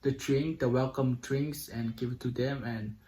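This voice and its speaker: A man with a Samoan accent chatting casually with his partner on a vlog, in halting, simple phrasing. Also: medium pitch, steady tone, very noisy. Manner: halting, simple non-native English phrasing. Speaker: vlog co-host.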